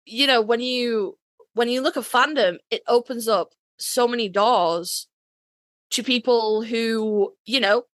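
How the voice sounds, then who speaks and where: A woman's voice: on the high side, slow, a bit bright, no echo. A woman chatting casually as a podcast host, emphatic and swearing freely. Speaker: author.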